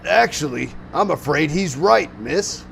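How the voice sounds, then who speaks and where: A man's voice: fairly high pitch, expressive, measured pace, vocal fry, heavy background noise, exaggerated voice. A man voicing a brusque, authoritative creature mayor with an official, commanding manner in an amateur cartoon audio drama. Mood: firm, patronizing.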